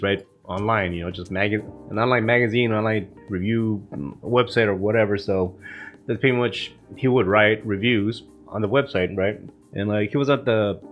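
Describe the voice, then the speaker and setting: Low-voiced man with a cold, rambling. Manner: rambling, profane, many fillers. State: coming down with a cold. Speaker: vlog host. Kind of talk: casual morning vlog talk. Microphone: camera mic.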